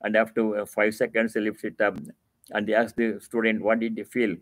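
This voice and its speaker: A man's voice: on the low side, little pitch movement, no echo. Indian-accented man giving an emphatic spiritual discourse. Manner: emphatic, repeats key phrases, with deliberate pauses; explains Sanskrit terms didactically. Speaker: swami giving a religious discourse. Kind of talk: scripture reading with devotional commentary.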